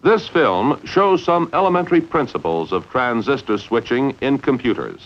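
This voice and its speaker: A man narrating a 1950s instructional training film in a measured, deliberate way with emphatic enunciation. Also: mid-range voice, very animated. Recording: dull, lo-fi 1950s film soundtrack.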